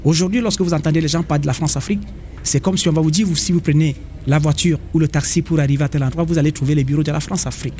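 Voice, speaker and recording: Earnest Cameroonian man, halting speech. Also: on the high side, fairly even intonation, a little mellow, a little hiss or hum. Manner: hesitant, earnest, many pauses. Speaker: association representative. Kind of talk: radio interview. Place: outdoor association fair.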